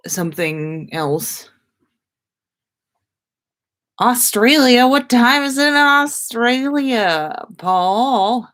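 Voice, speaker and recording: A woman's voice: on the high side, lively intonation, slow, a bit bright. A woman hosting a casual livestream, chatting with viewers in an informal, slangy, mildly sweary way. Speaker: podcast host. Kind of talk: casual livestream chat reading viewer comments.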